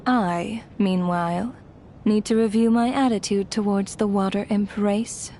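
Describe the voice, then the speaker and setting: Woman voicing icy ruler of death for a game, formal, detached, menacing, low rumble behind. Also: fairly even intonation, measured pace, warm tone, natural voice. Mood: cold, detached. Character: cold, imperious female ruler of death. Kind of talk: scripted video game acting.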